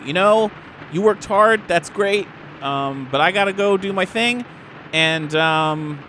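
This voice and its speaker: A man playing a defensive, pleading young aspiring actor in a tabletop game, halting and stammering. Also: high-pitched, pushed and bright, slight background noise, heavily acted.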